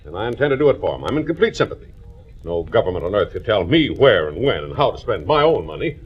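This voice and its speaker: Brusque, animated, fast-talking rich bank director. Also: male voice, on the low side, breathy edge. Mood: indignant, brusque. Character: gruff, self-assured wealthy older male bank director. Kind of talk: 1940s radio drama acting. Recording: muffled old radio recording.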